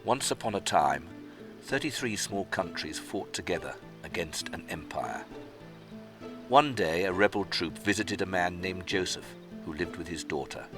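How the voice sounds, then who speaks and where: A man playing a solemn, wise old storytelling spirit in a fantasy game fan dub, speaking formally and gravely. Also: sits low, expressive, moderate pace. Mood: solemn narrating. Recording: loud music under the voice. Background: music.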